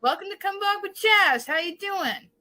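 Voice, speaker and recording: A woman hosting a show, introducing and welcoming a guest with excited cheering. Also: extremely high pitch, moderate pace. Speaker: show host greeting a guest. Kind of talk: enthusiastic introduction.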